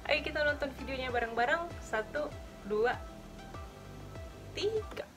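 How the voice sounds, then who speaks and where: A woman's voice: high-pitched, measured pace, music in the background. Chatty young Indonesian woman vlogging. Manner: chatty, addresses viewers as guys. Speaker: reaction vlogger. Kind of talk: casual chat. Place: small bedroom with shelves, indoors. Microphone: webcam at desk.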